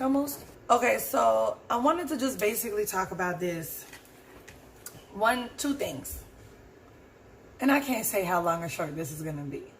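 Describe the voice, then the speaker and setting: A woman talks through her thoughts on her own podcast, her voice hoarse and roughened. She rambles in an earnest, unhurried way, circling back to her points with plenty of fillers. Her pitch sits at an ordinary middle level, and faint background noise hums under the recording. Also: low-quality recording. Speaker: podcast host. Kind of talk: casual solo reflection.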